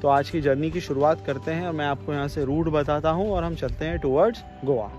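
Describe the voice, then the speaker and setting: A man's voice: on the high side, fairly quick, lo-fi sound, over music. Chatty man vlogging outdoors. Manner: mixes Hindi with English phrases. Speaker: travel vlogger. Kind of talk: casual travel vlog narration. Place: outdoors at a riverside and beach, then a village.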